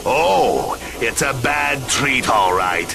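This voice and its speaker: A man voicing an evil floating mask spirit with a deep gravelly voice, relishing his threats in a video game. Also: lively intonation, slow pace. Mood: gloating. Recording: game soundtrack music behind.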